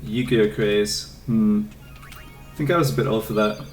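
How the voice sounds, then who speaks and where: A man's voice: lowish voice, unhurried, echoey room, distant mic, soft background music. A young man from England with an English accent, casually chatting with his live chat while streaming a game, rambling and answering questions.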